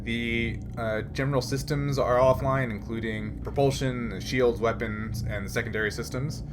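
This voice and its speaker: A man running a tabletop roleplaying game as game master, improvising his narration haltingly as he thinks aloud. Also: medium pitch, fairly even intonation, music in the background. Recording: noisy room ambience.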